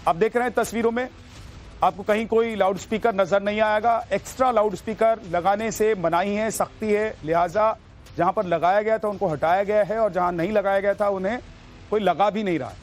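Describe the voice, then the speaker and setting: A man delivers a TV news voice-over in a notably high-pitched voice. His delivery is emphatic and dramatic, framed as an anchor introducing reports, with a light music bed underneath. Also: brisk.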